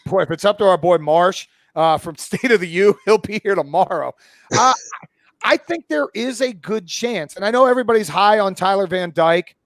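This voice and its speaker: A man's voice: sits high, normal speed, no echo. A man in his forties talking sports casually as a pundit, blunt and opinionated, swearing freely. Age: 40s. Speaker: college football pundit and podcast guest.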